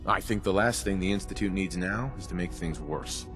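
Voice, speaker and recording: A man playing a calm, plain-spoken hero in a video game, in a casual manner. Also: deep voice, normal speed, over music, natural voice. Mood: sincere, steady.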